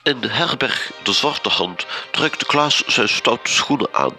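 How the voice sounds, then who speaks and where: Radio drama narrator: solemn, deadpan, unhurried gothic storytelling over prominent music. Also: male voice, medium pitch, exaggerated voice.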